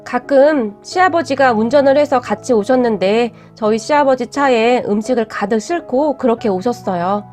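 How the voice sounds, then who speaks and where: A woman host gently reading a viewer's personal story aloud in an earnest storytelling manner. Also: a little high, normal speed, hardly any pauses. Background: faint background music.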